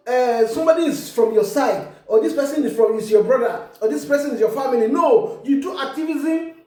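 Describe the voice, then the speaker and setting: A woman's voice: high voice, a little mellow. A Nigerian woman from Ekiti with a Yoruba accent, speaking English as a second language and giving an emphatic opinion monologue with frequent repeated words and restarts. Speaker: online commentator. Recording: very echoey room.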